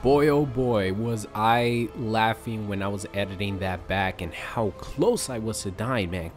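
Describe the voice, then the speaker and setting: A young man chatting casually to his viewers about a fighting game, rambling with fillers, his voice slightly raspy from a sore throat. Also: on the low side, unhurried, soft background music. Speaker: gaming YouTuber. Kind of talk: casual chat to camera.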